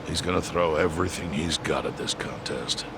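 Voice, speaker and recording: An actor playing an elderly, world-weary chairman in a video game, with a measured, dry, pragmatic manner over background noise. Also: extremely low pitch, creaky. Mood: grave, resigned. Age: older. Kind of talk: English video game voice acting.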